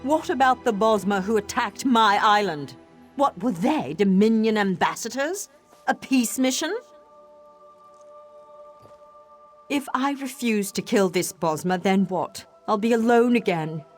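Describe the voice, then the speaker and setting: An actress playing a troubled young elf sorceress in a video game, bitter yet resolute, earnest and defiant. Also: female voice, medium pitch, animated, moderate pace, soft background music, natural voice. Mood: bitter, anguished. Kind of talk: video game character dialogue.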